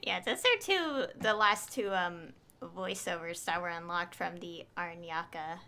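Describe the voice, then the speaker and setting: A woman's voice: lowish voice, measured pace, bright, forceful tone. A young woman streaming a game, chatting casually in a rambling, hesitant way. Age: young adult. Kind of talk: casual livestream commentary.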